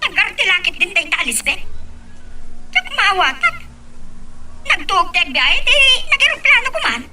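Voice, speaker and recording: A woman playing an excitable, gossipy relative with a Filipino accent in an audio drama, recorded at a distance. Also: very high voice, normal speed, noisy background. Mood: excited. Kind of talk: amateur audio drama acting.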